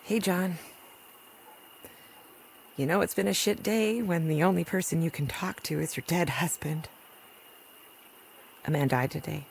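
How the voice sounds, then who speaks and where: A woman's voice: sits low, unhurried, vocal fry, pauses often, slight background noise. A woman playing a weary, principled rural doctor in an audio drama, blunt and sardonic. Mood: grieving, reflective. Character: weary, principled rural doctor and mother in post-apocalyptic wilds. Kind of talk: naturalistic audio drama acting.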